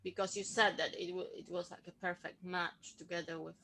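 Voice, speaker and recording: A woman's voice: lowish voice, flat delivery, a little fast, background noise. A woman attending a healing webinar, asking the host eager questions. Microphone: video call.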